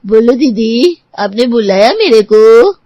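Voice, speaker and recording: A woman's voice: on the high side, expressive, slow pace, warm tone, dry recording. A woman playing a shrewd, stubborn older housemaid in an audio story, with a colloquial servant's way of talking. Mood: pointed, bargaining. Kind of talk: scripted audio story dialogue.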